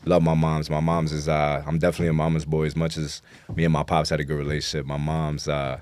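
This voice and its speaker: New york guy, real deep voice, rapper chatting in an interview, lots of slang, dry sound. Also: level tone, fast talker, a little mellow, clean tone, dry recording. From New York City. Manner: blunt street slang, frequent affirmations. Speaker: interview guest, rap artist. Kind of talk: casual conversational interview, slangy.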